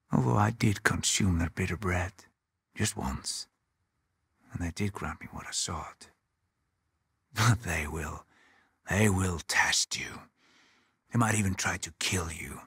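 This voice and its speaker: A man playing a weary, haunted Norse settlement leader in a video game, speaking gravely and deliberately in a husky, breathy voice. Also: low-pitched, bright, forceful tone, no echo, studio quality, heavily acted. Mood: grave, hushed. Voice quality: husky, breathy, often near a whisper. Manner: slow, deliberate, grave, with pauses. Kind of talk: dramatic video game acting.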